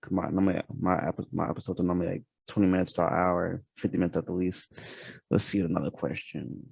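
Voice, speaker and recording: A man's voice: low-pitched, level tone, quick pace, gravelly, darkish tone, husky, no echo. A man hosting a casual interview, asking long rambling questions full of fillers and restarts. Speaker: podcast host.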